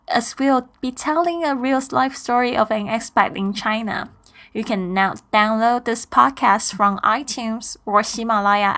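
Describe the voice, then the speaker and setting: A woman in her thirties, originally from Taiwan, introduces her own podcast in a fairly high voice. She reads a prepared script at an even pace, earnest and upbeat, in the manner of a scripted show opener. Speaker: podcast host.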